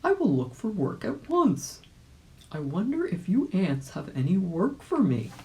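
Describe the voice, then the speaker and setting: A woman voicing a kindly, polite old gentleman rabbit in a storybook reading, with an earnest, eager manner. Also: lowish voice, huge pitch swings, measured pace, voice pushed up.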